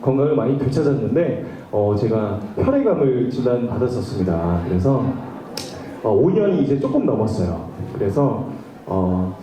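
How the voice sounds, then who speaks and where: A man's voice: mid-range voice, sing-song, normal speed, mellow, distant mic, slight background noise. A male trot singer chatting and bantering with a large live audience between songs, full of fillers. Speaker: trot singer performing on stage. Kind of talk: stage patter with a live audience. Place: large concert hall stage before about two thousand people. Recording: echoey hall with backing music.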